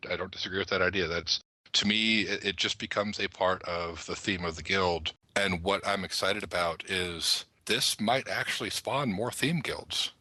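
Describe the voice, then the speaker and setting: A man's voice: deep voice, moderate pace, bright, forceful tone, no echo. A man on a gaming podcast panel, talking enthusiastically and at length about his thoughts. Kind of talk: panel discussion of an online roleplaying game.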